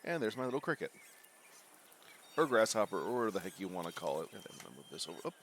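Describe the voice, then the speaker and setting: A man's voice: sits low, big pitch swings, very fast, a bit bright, slight background noise. A man streaming a video game, nasal and stuffed up with a bad cold, rambling and joking as he reacts to the game. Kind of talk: live gameplay commentary. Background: game music.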